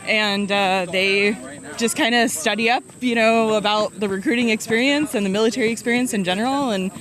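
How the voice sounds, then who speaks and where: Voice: medium pitch, brisk, fairly bright tone. A veteran in their thirties speaking in a casual interview while on a group hike, friendly and full of fillers like "um" and "you know.". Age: 30s. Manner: friendly, lots of fillers, laughs easily. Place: outdoors on a group hike along a road. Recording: outdoor crowd noise around.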